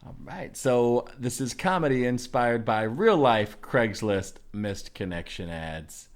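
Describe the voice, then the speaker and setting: A man hosting a comedy podcast, casually introducing and reading aloud with a husky voice. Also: mid-range voice, animated, slow pace.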